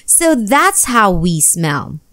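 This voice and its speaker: A woman voicing a curious, excitable little boy in a storybook, exclaiming and asking questions. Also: mid-range voice, slow. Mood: delighted.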